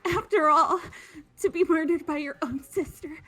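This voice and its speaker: A woman's voice: very high voice, normal speed, bright, forceful tone. A woman voicing an unhinged, ecstatic teenage girl villain in a fan-acted video game, giddy and melodramatic. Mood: manic envy. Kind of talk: amateur voice acting of a video game.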